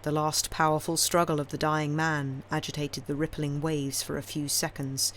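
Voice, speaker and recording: A woman reading classic fiction aloud as an audiobook narrator, in a measured, expressive, dramatic storytelling style. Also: sits low, a little hiss or hum. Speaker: audiobook reader.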